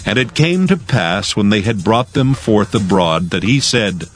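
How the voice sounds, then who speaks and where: A man's voice: fairly low pitch, lively intonation, a little slow. A man narrating scripture in archaic English as a scripted dramatized audio reading. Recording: prominent background music under narration.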